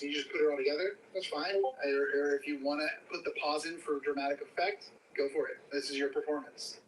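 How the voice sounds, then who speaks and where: Male coach, lively lightly high voice, halting reassurance, distant echoey recording with faint noise.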